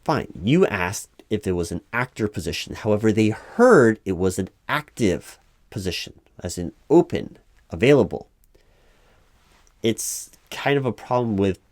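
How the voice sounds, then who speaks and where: A man acting as an exasperated recruiting manager in an audio interview roleplay, with a low voice and a close, dry sound. He stays polite and insistent, halting and repeating himself as he over-explains. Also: expressive, a little mellow, dry recording. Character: exasperated male recruiting manager, polite but increasingly weary. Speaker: job interviewer. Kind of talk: one-sided ASMR roleplay, spoken at normal volume.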